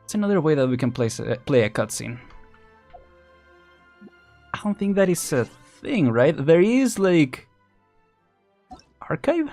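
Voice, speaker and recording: A man chatting casually and rambling as he thinks out loud on a live game stream. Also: a little high, sing-song, measured pace, pauses often, faint music behind.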